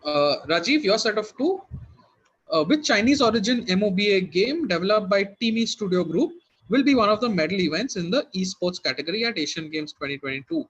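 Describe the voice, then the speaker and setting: A man's voice: high-pitched, animated, brisk, a bit bright, slightly rough, lo-fi sound. A man working as a quizmaster, reading out questions and hosting in a conversational way.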